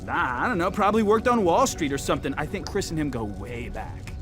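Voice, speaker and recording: An actor playing a streetwise, cocky resistance fighter with an American accent, talking in brash slang in a video game. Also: male voice, a little high, big pitch swings, fairly quick, music in the background. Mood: casual. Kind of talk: video game cutscene dialogue.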